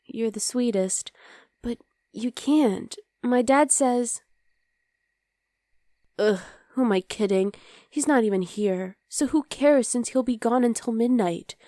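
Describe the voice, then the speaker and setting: A woman playing a young demon girlfriend in an ASMR roleplay, sweet but exasperated and rebellious, speaking intimately and softly to the listener as her partner. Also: a little high, slow, dry recording, clean studio sound. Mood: resentful, confiding. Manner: intimate, soft, often dropping to a whisper.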